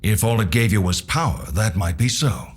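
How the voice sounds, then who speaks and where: Man as a low, knowing older mentor. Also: expressive, a little hiss or hum, lightly acted. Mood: solemn, explaining. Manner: measured, expository. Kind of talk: video game cutscene acting.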